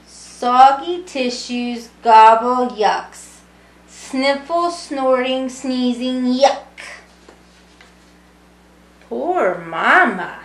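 Slow, high-voiced woman reading to children. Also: expressive. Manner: deliberate, singsong phrasing with explanatory asides to children. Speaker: storybook reader, early childhood education student. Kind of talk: read-aloud of a rhyming picture book for young children. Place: small indoor room with brick wall. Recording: roomy, echoing home recording.

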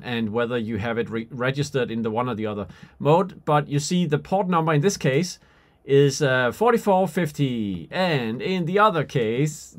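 Slightly high-voiced man demonstrating software. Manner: thinking aloud, halting while demonstrating. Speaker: product demonstrator. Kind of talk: unscripted software tutorial and product demo.

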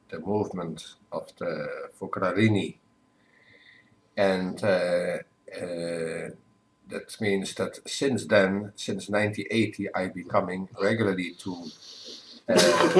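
Low-voiced older man, measured. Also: slight background noise, low-quality recording. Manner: careful, measured, with self-corrections. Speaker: priest, guest. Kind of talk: relaxed interview answers. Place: home living room. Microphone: webcam at desk.

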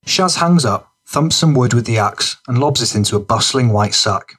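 A man's voice: medium pitch, animated, moderate pace, fairly bright tone, breathy edge. A man reading scripted narration for a gritty crime fiction audiobook.

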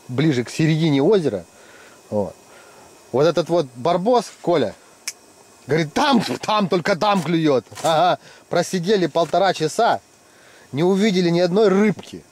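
A middle-aged man chatting casually and teasing his friends while ice fishing in a tent. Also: a little high. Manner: joking, teasing friends, lively. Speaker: fishing vlogger. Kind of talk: casual commentary.

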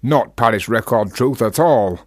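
A Yorkshire philosopher in a radio drama. He talks in rhetorical flourishes and teases as he provokes, in a mid-pitched voice recorded close and dry. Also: expressive, a little slow, darkish tone, no echo. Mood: argumentative, expansive. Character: talkative Yorkshire freethinker, teasing and philosophising. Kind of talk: radio play acting.

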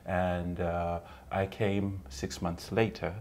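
A man's voice: deep voice, level tone, slow, darkish tone, slight background noise. A middle-aged man from Zambia, a guest on an interview show, talking casually with many ums and frequent laughs, seated in a small room. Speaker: guest, English teacher. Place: small room with bookshelf, indoors.

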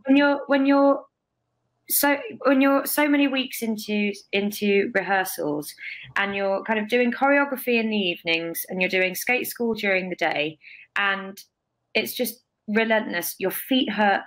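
A young woman, a guest telling emphatic, expressive stories over a video call from a bright room. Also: medium pitch, unhurried, low-quality recording. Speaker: guest, stage performer. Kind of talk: casual interview.

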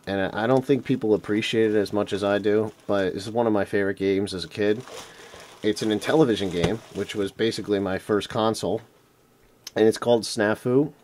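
A man's voice: lowish voice, darkish tone, slight background noise. A man losing his voice, talking casually and rambling with lots of fillers as he shows off retro games on a table indoors. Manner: rambling, filler-heavy, enthusiastic. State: losing his voice, throat clearing. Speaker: retro game collector vlogger. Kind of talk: casual unboxing vlog. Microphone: camera mic off-screen, hands on table.

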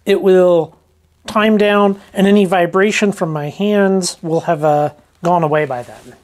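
A woman with a fairly low voice talks through a hands-on demonstration in a casual vlog style. She is informal and thinks aloud as she works. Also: unhurried, a little mellow. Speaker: rockhounding vlogger.

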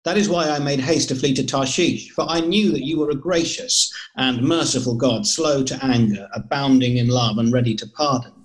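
An angry prophet in despair played by a man prays in a scripture drama. The voice is medium in pitch, earnest and solemn. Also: normal speed, hardly any pauses, natural voice, rough recording. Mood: despairing, reproachful. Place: indoor room, video call.

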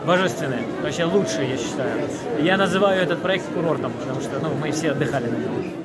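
An actor answering interview questions at a film premiere, relaxed with many hesitations. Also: male voice, fairly high pitch, fast, a loud crowd. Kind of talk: red carpet interview. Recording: noisy crowded lobby.

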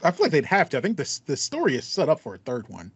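A man's voice: a little high, wildly swooping pitch, fast, husky, no echo. A man in his thirties chatting casually as a podcast co-host, rambling enthusiastically and repeating phrases, on slightly muffled call audio. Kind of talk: casual chat about video games. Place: small home room with acoustic foam. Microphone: headset, video call.

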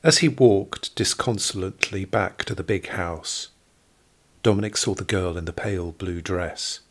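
A man reading scripted literary narration for an audio drama in a measured storytelling manner, with a husky quality. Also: sits low.

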